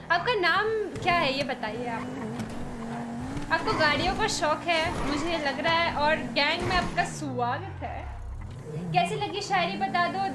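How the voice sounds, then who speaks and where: A woman's voice: very high-pitched, very noisy. A young woman chatting casually and teasingly on a game livestream from an indoor room, speaking into a close mic. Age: young adult. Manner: playful, teasing. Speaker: game streamer. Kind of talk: casual playful livestream chat while gaming.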